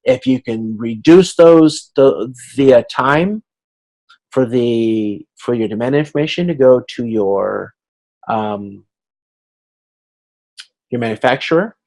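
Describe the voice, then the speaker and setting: A man giving an informal explanatory lecture as an instructor on a video conference, haltingly thinking aloud. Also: mid-range voice, a little mellow, poor audio.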